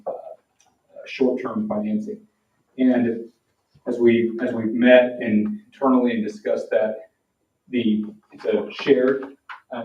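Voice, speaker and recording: A man's voice: medium pitch, warm tone. A man giving a formal budget presentation at a public meeting, speaking in a hesitant, explanatory way. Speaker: town finance presenter. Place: town council meeting room. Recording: echoey room, distant mic, dull sound.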